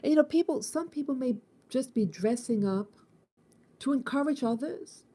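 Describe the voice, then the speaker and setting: A woman in her fifties from the United States, hosting a casual morning reflection, thinking aloud with halting restarts and repeated words. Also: sits high, animated, dark tone, slightly rough. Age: 50s. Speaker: host.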